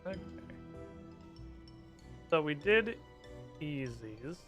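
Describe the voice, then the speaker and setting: A man's voice: on the high side, very animated, very slow, music in the background. A man streaming a game, chatting casually, muttering to himself and swearing freely.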